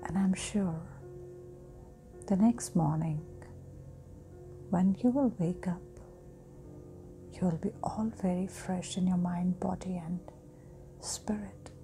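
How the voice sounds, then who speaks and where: A woman guiding a sleep hypnosis meditation in a soft, breathy, often near-whispering voice with long pauses. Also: on the low side. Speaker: guided meditation host. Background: meditation music.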